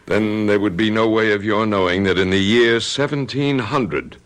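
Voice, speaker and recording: An actor playing a calmly skeptical male doctor who questions deliberately in an old-time radio drama. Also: fairly low pitch, natural voice. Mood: measured, skeptical.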